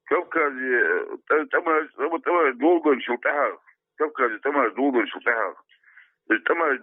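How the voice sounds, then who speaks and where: Husky man on a narrow phone line. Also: on the high side, no echo. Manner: halting, repeats phrases. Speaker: phone interviewee answering questions. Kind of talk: informal phone discussion. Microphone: telephone. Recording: dry, narrow telephone line.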